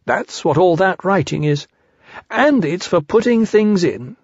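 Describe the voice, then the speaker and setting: A man's voice: high-pitched, animated, unhurried, a little mellow, breathy edge, no echo, voice pushed up, full character voice. A man doing a simple, good-natured bear cub. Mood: cheerful. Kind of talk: storybook reading. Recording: dry, slightly dull recording.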